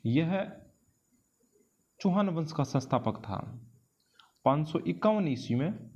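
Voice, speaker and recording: A man's voice: a little high, expressive, slow pace, darkish tone, slightly rough. A man with an Indian accent teaching an exam-prep lesson in question-and-answer form, didactically repeating key phrases for emphasis. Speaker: history teacher.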